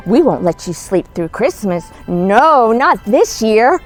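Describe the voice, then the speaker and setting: A woman's voice: fairly high pitch, very animated, slow, faint music behind. A woman voicing a bossy but caring little mouse in a storybook, urging and exclaiming. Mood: urgent, caring.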